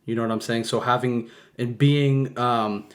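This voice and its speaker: A man's voice: medium pitch, fairly even intonation, measured pace. A man talking casually in a family conversation, rambling and often checking that he is understood. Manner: rambling, keeps asking "you know what I'm saying". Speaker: family member on the podcast.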